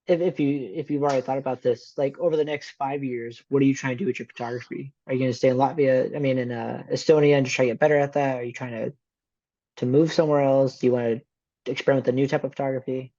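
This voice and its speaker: A man hosting a podcast, asking curious questions in a relaxed, casual way. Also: medium pitch, brisk, no room sound. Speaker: podcast host. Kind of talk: casual interview chat. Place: San Diego. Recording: dull dry lo-fi sound.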